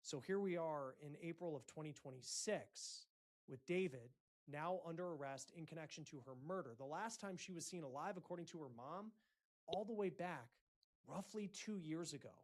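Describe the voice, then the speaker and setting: Emphatic male news host, higher-pitched voice. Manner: emphatic, deliberate phrasing with dramatic pauses. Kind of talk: breaking news reporting to camera.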